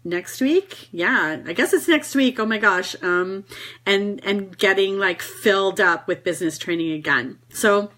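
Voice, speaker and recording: A woman's voice: medium pitch, measured pace, a bit bright. A woman with a cold giving a casual, encouraging live talk to viewers, chatty and full of fillers. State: has a cold. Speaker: network marketing business trainer.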